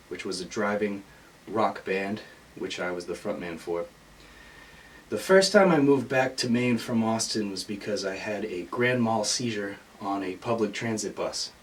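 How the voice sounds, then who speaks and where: An American man speaks in a lowish voice, a little away from the mic, with faint noise around him. He sounds earnest and pauses as he shares a personal biography. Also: far from the mic, rough recording. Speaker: musician, singer-songwriter. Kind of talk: personal biography told for an audition.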